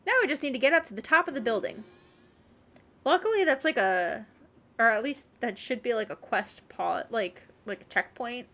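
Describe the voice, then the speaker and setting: A woman's voice: fairly high pitch, expressive, normal speed, fairly bright tone. A woman livestreamer giving casual gameplay commentary, muttering questions and reactions to herself as she plays. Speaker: video game streamer.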